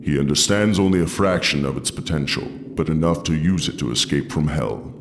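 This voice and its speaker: An actor playing a solemn thunder god in a video game, formal and authoritative, calling on warriors. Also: male voice, rumbling low, a little fast, noisy background. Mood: solemn, urgent. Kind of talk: video game cutscene acting.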